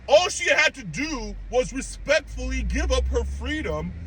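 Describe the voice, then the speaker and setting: A young man hosting an anime reaction channel, delivering an animated, emphatic rant straight to his viewers. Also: very high voice, a little slow, poor audio. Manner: animated, emphatic, incredulous outbursts; conversational, addresses viewers directly. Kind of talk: opinionated rant. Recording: steady traffic noise behind.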